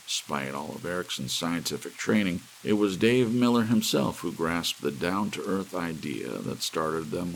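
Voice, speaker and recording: A man's voice: deep voice, vocal fry, rough recording. A man narrating a science fiction story as an ASMR audiobook. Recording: faint hiss with soft rain. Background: soft rain.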